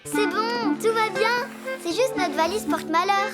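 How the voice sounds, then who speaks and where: A woman's voice: very high-pitched, a little slow. A woman voicing a curious, cheerful little girl elephant in a children's cartoon, childlike and eager. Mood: determined. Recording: loud music behind.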